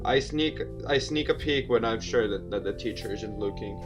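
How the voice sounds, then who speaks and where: A man's voice: on the low side, normal speed, music in the background. Theatrical man reading a game aloud. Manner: theatrical, stumbles over written words. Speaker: video game let's player, host. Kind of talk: reading a visual novel aloud with casual commentary.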